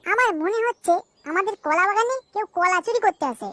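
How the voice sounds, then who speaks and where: A woman's voice: very high-pitched, a little fast, fairly bright tone, a little hiss or hum. A woman with a West Bengali accent voicing a worried, suspicious village wife in a comic cartoon.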